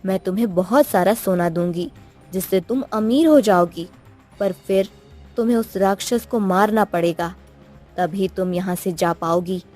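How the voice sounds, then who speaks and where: A woman's voice: mid-range voice, moderate pace, darkish tone, faint music behind, lightly acted. A woman voicing an assured magical queen in a cartoon story, commanding and reassuring. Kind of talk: cartoon moral story acting.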